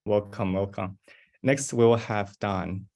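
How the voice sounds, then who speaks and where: A young man hosting an online panel discussion speaks in a fairly low voice. He is polite and eager, and he fills his pauses with "um.". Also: a little mellow. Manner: polite, eager, many fillers. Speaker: panel moderator.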